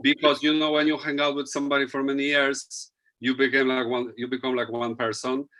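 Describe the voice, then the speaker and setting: A man's voice: mid-range voice, flat delivery, fairly quick, bright, forceful tone, poor audio. A man with a Polish accent, a DJ guest, speaking loosely with many fillers while thinking aloud. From Poland. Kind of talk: casual interview chat.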